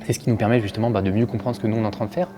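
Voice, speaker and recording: Brief: quick, low-voiced male musician, fluent and explanatory, music loud behind him. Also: fairly even intonation. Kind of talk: interview.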